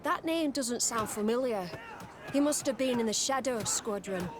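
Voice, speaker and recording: A woman's voice: a little high, animated, normal speed, lightly acted. An actress playing a disciplined female military instructor in a video game, formal and respectful. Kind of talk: video game cutscene acting. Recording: outdoor ambient noise throughout.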